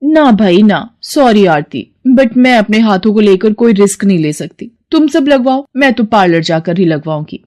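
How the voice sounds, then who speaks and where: A woman voicing a snobbish, dismissive rich lady in an animated story, with a haughty, fussy manner. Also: mid-range voice, normal speed, hardly any pauses, close to an everyday voice. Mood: huffy. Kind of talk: animated Hindi children's story acting.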